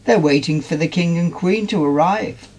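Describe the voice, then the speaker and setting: A man's voice: on the high side, moderate pace, a little mellow, lightly acted, poor audio. A man voicing a kindly, confident male toy pig, reassuring and chatty, in a children's storybook. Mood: informative.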